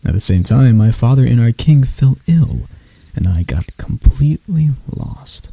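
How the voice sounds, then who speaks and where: A man playing a proud, mocking elf royal in an ASMR roleplay, speaking in a soft, breathy, husky voice with dramatic pauses. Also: medium pitch, sing-song, no echo, exaggerated voice. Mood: bitter, aggrieved.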